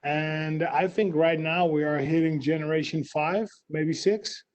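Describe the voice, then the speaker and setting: Dutch-accented male fighter, slightly high voice, plain answers, lots of uhs. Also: steady tone, a little slow. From Netherlands. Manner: short plain answers with many fillers. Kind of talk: press interview answers.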